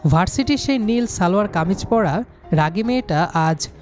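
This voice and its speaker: Male storyteller over soft music. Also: high voice, lively intonation, normal speed. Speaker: story narrator. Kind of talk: scripted first-person story narration. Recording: music behind the voice.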